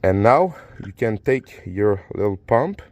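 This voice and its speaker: Man, French accent, somewhat low voice, halting how-to explanation, frequent fillers and self-corrections. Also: lively intonation, darkish tone, slight background noise. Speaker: car repair channel host. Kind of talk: casual how-to demonstration.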